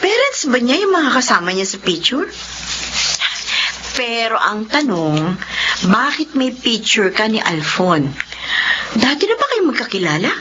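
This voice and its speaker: An excitable young coworker in a radio play, high-pitched and swooping all over. She bursts out with exclamations. The sound is dull and lo-fi, with hiss behind it. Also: unhurried, pauses often. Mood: curious. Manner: animated, exclaiming. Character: excitable young woman coworker, lively and nosy. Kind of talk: radio drama acting.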